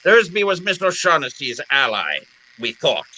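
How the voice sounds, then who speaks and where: Man playing wily crime boss, florid and courteous, highish sharp voice, swooping intonation, old-time radio drama. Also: very animated, exaggerated voice, rough recording. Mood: matter-of-fact. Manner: florid, courteous, deliberate phrasing. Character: genteel, wily older criminal mastermind, falsely jovial and polite.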